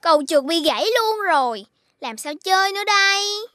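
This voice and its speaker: A woman voicing an excitable, teasing cartoon child playmate, exclamatory and childlike, with a Southern Vietnamese accent. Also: extremely high pitch, normal speed, no room sound, clean studio sound, exaggerated voice. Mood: alarmed, dismayed. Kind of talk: animated children's cartoon dubbing.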